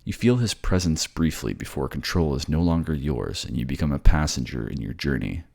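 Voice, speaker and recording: A man's voice: rumbling low, expressive, a little fast, creaky, darkish tone, breathy edge. A man reading scripted second-person narration for a fantasy audio drama. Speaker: voice actor and narrator.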